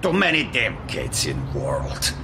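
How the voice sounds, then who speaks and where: An actor playing an angry, menacing cat-hating thug in an audio drama, barking in clipped, broken phrases. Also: male voice, on the low side, wildly swooping pitch, takes it slowly, noisy background, full character voice. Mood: furious. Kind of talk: audio drama villain acting.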